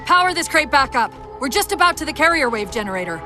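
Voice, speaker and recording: Female game AI guide: high voice, technical and focused, loud music underneath. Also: very animated, fast. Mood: focused, businesslike. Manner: crisp, technical, instructive. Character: female AI companion guiding a soldier, calm and focused. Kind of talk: sci-fi video game voice acting.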